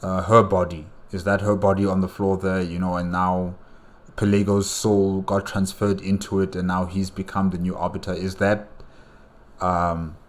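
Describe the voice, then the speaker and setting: A man's voice: deep voice, level tone, moderate pace. A man hosting a reaction video, chatting casually and hesitantly as he wonders aloud. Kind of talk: casual chat, reacting to game cutscenes.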